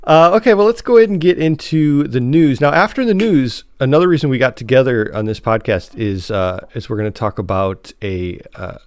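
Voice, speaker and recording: A man hosting a casual podcast chat, talking in a halting way with repeated words and restarts. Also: medium pitch, animated, darkish tone, clear, resonant tone, no echo, studio quality. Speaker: podcast host and editor.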